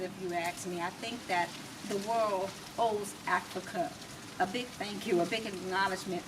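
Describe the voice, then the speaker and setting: An American woman giving her opinions as an interview guest, emphatic and rhetorical, speaking in deliberate, repeated phrases. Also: medium pitch. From United States. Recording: distant mic with clear background noise.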